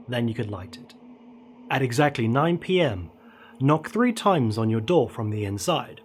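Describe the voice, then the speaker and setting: A man's voice: medium pitch, a little hiss or hum. Ominous, dramatically swooping male horror narrator. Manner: theatrical, ominous, deliberate emphasis, dropping to hushed tones. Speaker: horror storyteller, show host. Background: dark ambient music.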